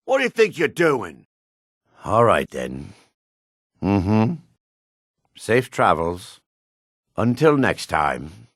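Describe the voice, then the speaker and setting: An actor playing a gruff, suspicious middle-aged dark elf man in a fantasy video game, raspy and curt with a wary, sneering edge. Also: on the low side, wildly swooping pitch, very slow, pauses often, no echo, clean studio sound, heavily acted. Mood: calm, dry. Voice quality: gravelly, raspy. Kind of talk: video game character barks.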